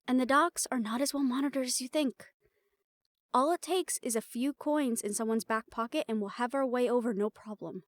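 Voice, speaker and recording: Actress as a high-voiced, determined fantasy rebel woman. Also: moderate pace, no echo, close to an everyday voice. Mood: determined, persuasive. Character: determined, rule-bending woman hunter in fantasy world. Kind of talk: scripted audio roleplay drama. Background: background music.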